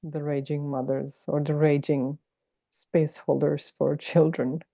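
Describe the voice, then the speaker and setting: A woman hosting a podcast, asking questions slowly and gently. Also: sits low, flat delivery, vocal fry, no room sound. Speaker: podcast host. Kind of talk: casual interview.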